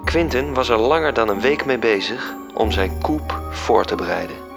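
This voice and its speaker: An actor playing a wistful angel who narrates a radio drama, a man with a measured delivery. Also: mid-range voice, lively intonation, creaky. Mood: storytelling. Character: wistful angel recounting the tale to his superior. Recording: music under the voice.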